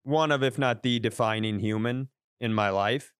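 A man's voice: medium pitch, normal speed, fairly bright tone, smooth, no echo, clean studio sound. A man telling a rambling story on a podcast, swearing and restarting his sentences as he goes. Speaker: comedian podcast host. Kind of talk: casual storytelling chat.

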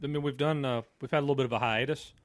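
A man with a cold, hoarse voice, chatting on a podcast as a guest with dry, sarcastic humor. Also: mid-range voice, very fast, no room sound. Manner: joking, sarcastic, deadpan. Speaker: podcast guest, host's brother. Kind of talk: casual podcast chat.

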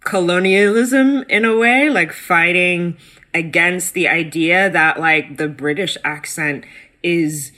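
A woman co-hosts a podcast in easy, animated conversation, full of ums and likes. Her voice has a bright, crisp edge. It leaps upward in pitch when she gets excited. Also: slow. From United States. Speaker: guest co-host.

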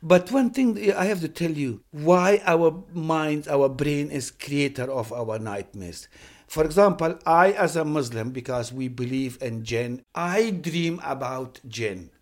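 A man's voice: a little high, unhurried. An older man, the host's father, talking casually with dry humor and firm opinions in broken English. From Afghanistan. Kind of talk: casual family conversation.

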